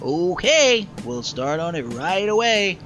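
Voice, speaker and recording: A man's voice: high-pitched, very animated, slow pace, fairly bright tone, pitched above the performer's own voice, full character voice. A man voicing an obedient male raccoon henchman who answers in short dutiful replies, with music behind.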